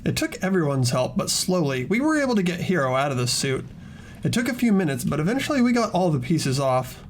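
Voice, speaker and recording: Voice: mid-range voice, very animated, fairly quick, slight background noise, lightly acted. A performer voicing an earnest young student protagonist who questions and narrates hesitantly in a fan-voiced visual novel. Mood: thoughtful. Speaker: voice actor in fan game playthrough. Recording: music under the voice.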